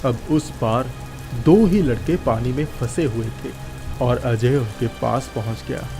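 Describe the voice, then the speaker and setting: A man's voice: medium pitch, unhurried, music in the background. A man narrating a scripted children's moral story as a storyteller.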